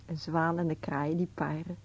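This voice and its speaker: An actress playing a nagging, wistful old wife in a Dutch radio play, terse and insistent, with a husky voice. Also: female voice, sits low, little pitch movement, moderate pace, a little mellow. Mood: wistful, stubborn. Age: older.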